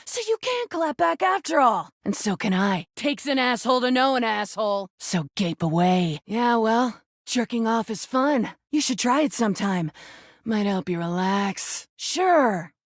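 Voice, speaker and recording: A woman's voice: fairly high pitch, animated, a little slow, no room sound, clean studio sound. A woman voicing a crude, flirty, mocking rubber duck come to life in a video game. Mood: sarcastic, dismissive. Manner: sassy, mocking, combative, suggestive. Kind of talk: video game character dialogue.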